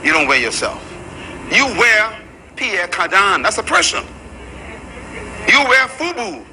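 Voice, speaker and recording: A man's voice: high voice, big pitch swings, unhurried, pushed and bright, slight background noise. A man preaching a sermon to a room full of people, emphatic and rhythmic. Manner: emphatic, preaching to a live crowd. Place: large room with an audience.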